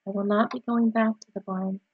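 A weary female spider, voiced by a woman reader, speaks in a hushed, weak voice. She is calm and resigned yet gently firm, in a very dry, slightly dull recording. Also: fairly high pitch, a little slow, breathy edge, dry recording.

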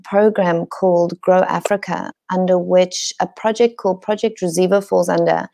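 A Zimbabwean woman in her forties hosts a webinar from home over a video call. Her voice is low-toned and gravelly, with a warm, dark tone. She sounds enthusiastic but hesitant, filling pauses with ums. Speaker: lodge host. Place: home room indoors.

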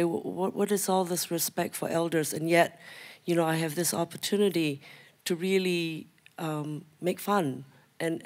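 A man from North Asia asking a question from the audience, slightly hoarse, hesitant with many fillers. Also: a little high. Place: large auditorium.

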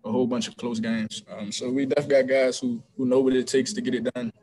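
A man's voice: medium pitch, quick pace, faint music behind, low-quality recording. Young man answering postgame questions, um-heavy. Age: young adult. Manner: relaxed, hesitant, many ums and restarts. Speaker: college basketball player. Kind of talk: postgame press conference answers. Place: small room before a team backdrop. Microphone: video call webcam.

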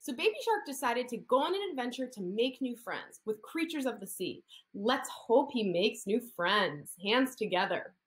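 A woman in her thirties, an occupational therapist, reads a picture story aloud to young children. She talks in a fairly high, very lively sing-song voice, coaxing the kids to copy her hand gestures. She is recorded indoors on a laptop webcam. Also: normal speed, few pauses. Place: indoor room.

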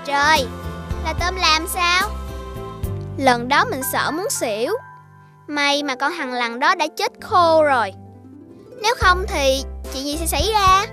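A woman's voice: high-pitched, lively intonation, slow pace, long pauses. A woman voicing a sweet, earnest little schoolchild who keeps the peace, in a children's cartoon style. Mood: shocked. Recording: faint music behind the voice.

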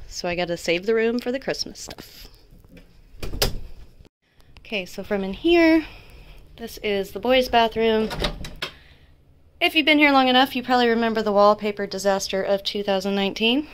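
Casting: young mom vlogger, chatty, self-deprecating, phone-mic sound with faint room hum. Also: female voice, fairly high pitch, animated, normal speed, pauses often. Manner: chatty, laughs often, self-deprecating asides. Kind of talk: casual house tour narration. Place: small home, indoors.

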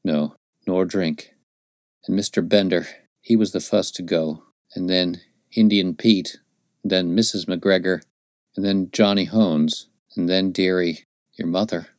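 A man voicing a gaunt, dying frontiersman who speaks gently in rustic dialect, with a low voice. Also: fairly even intonation, unhurried, no echo, clean studio sound. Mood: gentle.

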